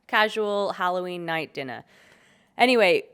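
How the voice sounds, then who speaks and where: A young woman with a British accent chats through her podcast, her voice worn hoarse by a cold. She speaks low and keeps things relaxed and jokey as she goes. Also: moderate pace. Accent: British English. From Britain. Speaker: podcast host. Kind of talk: casual chat.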